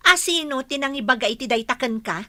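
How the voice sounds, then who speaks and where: A woman acting a defiant, resentful wife in a radio drama, with a sharp, confrontational way of talking. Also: sits high, lively intonation, fast talker. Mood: defiant, angry. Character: defiant, resentful unfaithful wife in a bitter quarrel.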